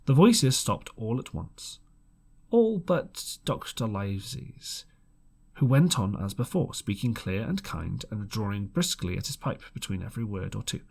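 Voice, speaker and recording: Husky man narrating with lively swings. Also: on the low side, unhurried. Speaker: audiobook reader. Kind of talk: expressive amateur storybook narration.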